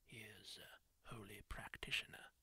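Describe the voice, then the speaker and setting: A man's voice: on the low side, level tone, a little slow, slightly rough, dry recording, heavily acted. Whispering kindly masked wanderer, a man doing. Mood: soothing. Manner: gentle, reassuring, musing asides. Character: kindly masked male wanderer tending a wounded stranger. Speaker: ASMR roleplay creator. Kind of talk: whispered ASMR roleplay.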